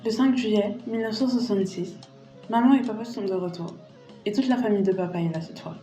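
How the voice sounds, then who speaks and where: Actress as mellow-voiced Indian girl telling her life. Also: medium pitch, a little fast, roomy sound, faint music behind, lightly acted, poor audio. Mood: childlike, candid. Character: Indian girl growing into lonely bride, narrating her life. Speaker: lead narrator. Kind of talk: dramatic first-person scripted narration.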